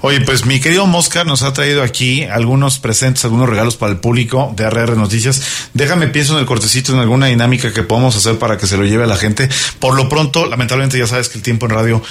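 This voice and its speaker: A male radio host with a Mexican accent and a mid-pitched voice. He talks quickly in a friendly, joking way. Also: a bit bright. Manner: friendly, playful banter. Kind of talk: radio interview.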